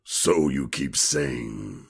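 A man playing a gruff, grumpy tough time traveller in an audio drama, with a gravelly, husky voice and blunt, grumbling delivery. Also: a bass voice, extremely slow, exaggerated voice. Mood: grumbling, sarcastic.

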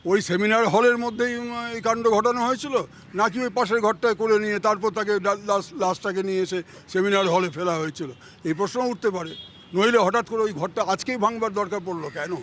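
A man's voice: sits high, quick pace, fairly bright tone, a little hiss or hum. A man making spontaneous comments in an insistent, questioning way.

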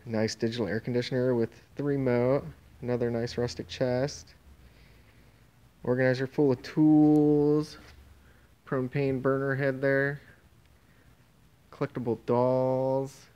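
A man's voice: mid-range voice, little pitch movement, slow, pauses often, mellow, clean tone, muffled recording. A man casually walking through a warehouse with a phone camera, listing auction items in an offhand way that trails off mid-phrase. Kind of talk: casual walkthrough narration. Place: indoor warehouse and tent. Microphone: handheld phone camera.

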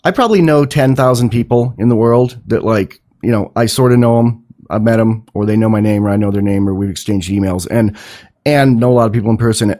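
A man's voice: fairly low pitch, mellow. A man hosting a podcast in casual conversation, talking in a rambling, enthusiastic way with some swearing. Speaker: podcast host.

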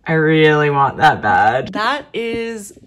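A woman's voice: low voice, sing-song, slow, fairly bright tone, distant mic. A young adult woman talking in an informal, self-deprecating way, as in a casual personal vlog. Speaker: novelist vlogger. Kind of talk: casual vlog chat to camera. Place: small home room with desk.

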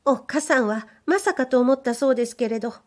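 A woman voicing a shy young maidservant who speaks in a soft, bashful whisper. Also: high voice, quick pace, pitched above the performer's own voice, natural voice.

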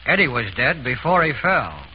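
A man's voice: medium pitch, sing-song, moderate pace, lightly acted. A man acting a blunt, determined racehorse trainer in a vintage radio drama, terse and insistent. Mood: grim. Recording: dull, hissy vintage radio broadcast recording.

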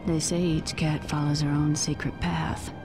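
An actress playing a mysterious, brooding feline antiheroine, narrating her own dark story in a breathy voice for a video game. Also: low-pitched, little pitch movement, normal speed, music in the background.

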